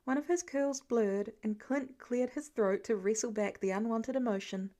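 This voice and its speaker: A woman reading fan fiction aloud as an expressive scripted narration. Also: a little high, moderate pace.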